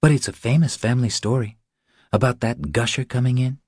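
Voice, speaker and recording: A man's voice: mid-range voice, a little fast, darkish tone, breathy edge, no room sound, clean studio sound, pitched above the performer's own voice, close to an everyday voice. A man voicing a weary young mother who explains things in short, clipped sentences in an audiobook story. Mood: matter-of-fact.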